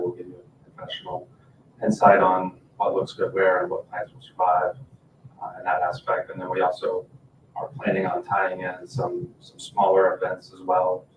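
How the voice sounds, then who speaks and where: Low-pitched American man, business owner at a public meeting, echoey room with faint noise. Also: far from the mic, dull audio. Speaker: garden center business owner presenting to a town council. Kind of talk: informal public meeting presentation. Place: indoor town council meeting room.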